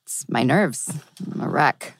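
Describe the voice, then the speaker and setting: A woman's voice: rumbling low, big pitch swings, a little slow, dry recording, clean studio sound, exaggerated voice. A woman acting an anxious, wry young woman at a teen drama table read. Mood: anxious, weary.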